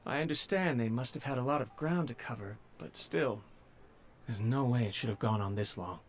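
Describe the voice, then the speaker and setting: A man's voice: mid-range voice, moderate pace, slight background noise, lightly acted. An actor playing a nervous young male servant who stammers and speaks humbly. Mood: worried.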